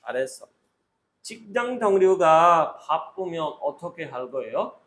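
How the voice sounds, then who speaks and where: A man's voice: a little high, sing-song, measured pace, fairly bright tone. A man acting as a Korean exam interviewer, giving short instructional commands and set questions.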